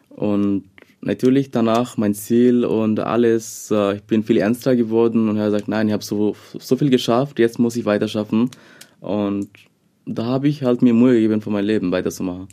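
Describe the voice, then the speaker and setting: A young man from Bangladesh, a second-language speaker, answering interview questions haltingly with many fillers. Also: on the low side, steady tone, dark tone. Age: young adult.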